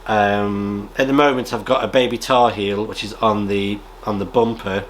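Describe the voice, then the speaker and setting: A British man chatting casually as a radio hobby vlogger, rambling in a halting way and often clearing his throat. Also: on the low side, fairly even intonation, slight background noise. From United Kingdom. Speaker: amateur radio vlogger and presenter. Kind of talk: casual rambling chat, reading out viewer comments.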